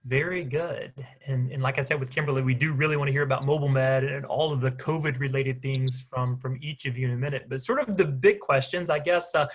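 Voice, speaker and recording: A man's voice: mid-range voice, fairly quick, few pauses. A man, a church minister, hosting a recorded conversation with young volunteers, speaking hesitantly with many ums and restarts.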